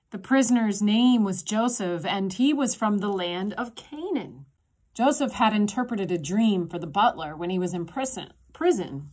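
A woman in her thirties, a pastor, telling a children's sermon in a gentle, simple storytelling way, recorded on a phone in a small room. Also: on the high side. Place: small indoor room with a block wall. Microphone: phone camera at arm's length.

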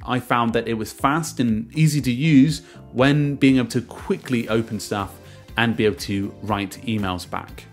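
A man presenting a casual software review as a screen-share voice-over, explaining step by step, starting sentences with emphasis and trailing off at the ends. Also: mid-range voice.

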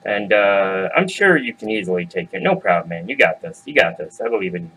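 A man's voice: fairly low pitch, sing-song, a little fast, bright, forceful tone, breathy edge, pitched below the performer's own voice, exaggerated voice, poor audio. Man as a cocky, streetwise big goat. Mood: coolly persuasive. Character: big tough male goat, cocky rough-living gambler.

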